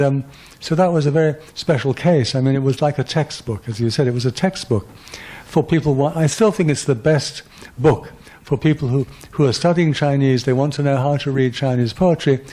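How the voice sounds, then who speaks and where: An older man in his seventies takes audience questions after a lecture. His voice is mellow and dark, and he talks in a loose, discursive way with a dry, self-mocking humour. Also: mid-range voice. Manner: discursive, wry and self-deprecating. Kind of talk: conversational lecture Q&A.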